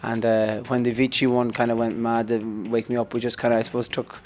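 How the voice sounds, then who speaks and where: Fast-talking Irish man, joking. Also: medium pitch, monotone, slight background noise. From Ireland. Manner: joking, rambling, quick. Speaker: band member. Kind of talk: casual interview chat. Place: backstage room, indoors. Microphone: handheld mic.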